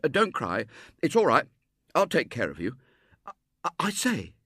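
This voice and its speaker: A man's voice: on the high side, wildly swooping pitch, moderate pace, pushed and bright, husky, no room sound, clean studio sound, voice pushed up, full character voice. A man voicing an earnest, boastful young boy in a storybook, with a stammering, blustering way of talking. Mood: desperate, reassuring. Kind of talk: storybook character voice in narration.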